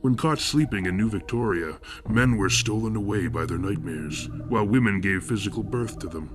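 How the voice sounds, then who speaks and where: A man acting as a somber first-person narrator of horror fiction, grave and deliberate in ornate storytelling. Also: lowish voice, natural voice. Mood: grave, ominous. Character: somber male narrator recalling nightmarish horrors. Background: loud music.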